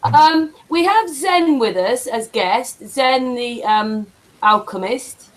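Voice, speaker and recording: This is a woman whose voice sits somewhat high. She runs a group voice chat online. She chats easily as she welcomes and introduces the guests. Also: lively intonation, slow, rough recording. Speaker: group organizer and host.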